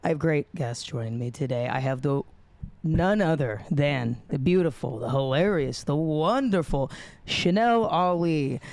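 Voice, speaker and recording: A hungover American woman hosts a casual podcast, blunt and jokey, with a smooth, clear voice. The sound is close and dry, with no room around it. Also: low voice, unhurried, a little mellow, no room sound. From United States. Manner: jokey, blunt, swears freely. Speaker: podcast host. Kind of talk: casual chat.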